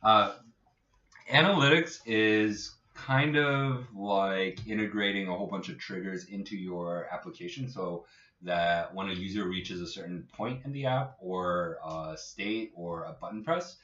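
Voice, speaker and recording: A man co-hosting a casual tech podcast as an app developer, explaining things in an informal, jokey, halting way. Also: deep voice, pushed and bright. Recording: echoey room, distant muffled mic.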